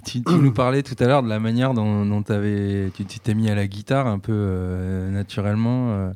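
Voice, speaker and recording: A man's voice: on the low side, moderate pace, darkish tone, clear, resonant tone. A man hosting a local music show and asking his guest questions in a hesitant way, with many fillers. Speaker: radio show host. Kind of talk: casual interview questions.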